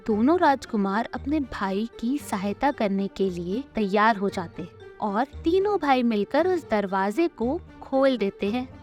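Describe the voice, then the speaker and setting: A woman's voice: on the high side, animated, measured pace, few pauses, a little mellow, faint music behind. A woman narrating a scripted children's story as a storyteller.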